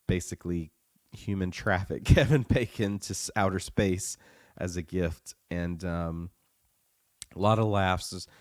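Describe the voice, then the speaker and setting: A man with a very low, smooth voice, still recovering from the flu, talks through his thoughts on a podcast. He is measured and steady, pausing often on "uh", and his voice sounds dry and close. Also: no echo. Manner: measured, with frequent "uh" pauses. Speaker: podcast co-host. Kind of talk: casual review chat.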